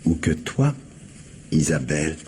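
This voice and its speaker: An actor playing a wry, uneasy conspirator in a radio mystery, with a gravelly voice and a needling way. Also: male voice, extremely low pitch, sing-song, a little slow, mellow, heavily acted. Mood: needling, doubtful. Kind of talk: French radio mystery drama acting. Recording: radio drama with audible background noise.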